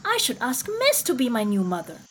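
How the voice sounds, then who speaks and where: Woman doing a squeaky spoilt kitten boy, loud and whiny, a bit of hiss behind. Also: wildly swooping pitch, a little fast. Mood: eager. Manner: shrill high calling, strained and pleading. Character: spoilt little boy kitten, demanding and eager.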